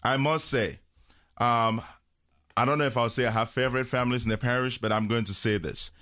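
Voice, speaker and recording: A man from Cameroon, a parish priest chatting on a radio call-in show, talking slowly with many fillers and chuckles. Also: mid-range voice, steady tone, bright, forceful tone, no room sound, studio quality. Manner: slow, hesitant, many fillers, chuckles often. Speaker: priest guest. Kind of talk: casual radio chat. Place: radio studio.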